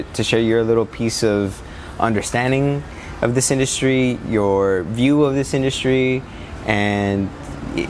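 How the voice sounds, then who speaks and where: A man's voice: fairly low pitch, a little hiss or hum. Laid-back male podcast host. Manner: laid-back, friendly, casual swearing. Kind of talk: casual interview chat.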